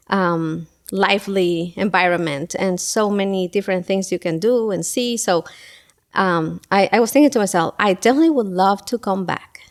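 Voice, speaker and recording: A middle-aged woman from Ecuador, talking as an interview guest in a thoughtful way with many pauses and fillers. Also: medium pitch. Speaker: guest, school event coordinator. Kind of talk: casual interview talk.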